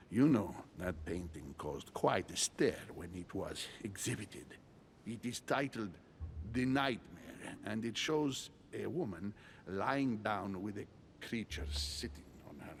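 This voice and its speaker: An elderly, grave churchman in a game drama. The voice is very low and creaky, with expressive rises and falls. He speaks formally and deliberately, courteous and fatherly. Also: a little hiss or hum, exaggerated voice. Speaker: video game character.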